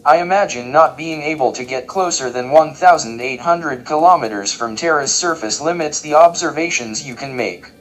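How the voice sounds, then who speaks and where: A man playing a pedantic robot chef in a comedy audio drama, speaking in a formal, precise, deadpan way. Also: a little high, normal speed, few pauses, soft background music, natural voice, low-quality recording. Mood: curious. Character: dry, pedantic robot chef with wounded pride.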